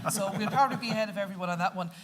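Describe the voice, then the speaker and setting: A woman's voice: medium pitch, very fast, noisy background. An Irish woman lecturer on a panel, talking in a chatty, rambling way. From Ireland. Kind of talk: panel discussion.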